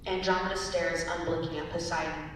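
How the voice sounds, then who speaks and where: A woman's voice: little pitch movement, fairly quick. A young woman reading stage directions as scripted narration at a dramatic reading. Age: young adult. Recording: very echoey hall, distant mic, hiss and rumble.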